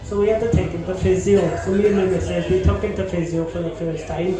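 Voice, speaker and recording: A young man doing a stand-up set on a comedy club stage, with crude, deadpan punchlines and lots of swearing. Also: sits high, quick pace, heavy reverb, music in the background.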